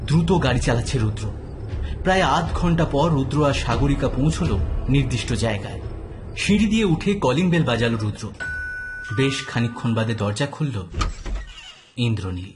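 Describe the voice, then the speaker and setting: Animated male story narrator. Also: mid-range voice, moderate pace, pauses often, background noise. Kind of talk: dramatic scripted story narration.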